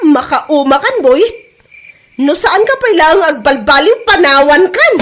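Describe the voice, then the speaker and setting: A woman's voice: high voice, animated, a little slow, a bit bright, faint music behind. A woman acting the part of a fed-up, sarcastic young wife who nags, in a comedy drama. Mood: exasperated.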